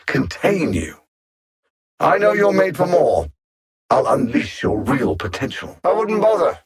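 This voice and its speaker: A man's voice: medium pitch, slightly rough, heavily acted. A man playing a pompous alien machine-intellect conqueror in a video game, coldly sardonic and formal, with theatrical menace. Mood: taunting. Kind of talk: scripted video game villain dialogue.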